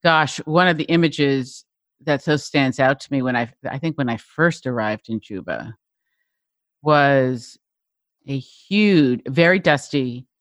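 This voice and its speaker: Middle-aged woman host, thoughtful, halting, close dry mic. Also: extremely low pitch, no room sound. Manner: reflective, hesitant, searching for words. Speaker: podcast host. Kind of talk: interview.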